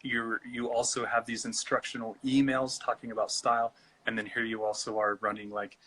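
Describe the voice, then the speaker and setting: An American man hosting a casual interview, encouraging and a little halting in his phrasing. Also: medium pitch, flat delivery, bright, forceful tone, poor audio. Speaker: show host, interviewer. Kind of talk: casual chat.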